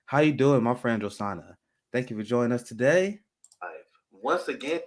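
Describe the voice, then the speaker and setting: A man hosting a live talk show, speaking with many restarts and pauses. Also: medium pitch, animated. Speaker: host.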